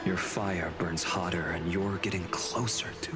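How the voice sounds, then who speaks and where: An actor voicing a solemn, gentle and resolute male hero in a video game, with measured, earnest delivery and dramatic pauses. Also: deep voice, steady tone. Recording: game audio under loud music.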